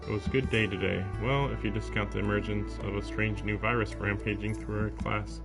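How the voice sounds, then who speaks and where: A man with a low, creaky voice streams a visual novel over game music. He reads the text aloud like a narrator, then breaks off into casual, sarcastic remarks. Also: level tone, brisk. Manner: sarcastic asides. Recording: music behind the voice.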